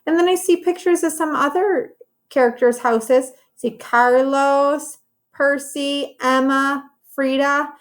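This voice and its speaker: Female teacher for a children's read-aloud: higher-pitched, unhurried, simple instructive wording with encouraging asides. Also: fairly even intonation. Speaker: teacher reading a story to children. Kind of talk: read-aloud lesson for young children, with commentary on pictures.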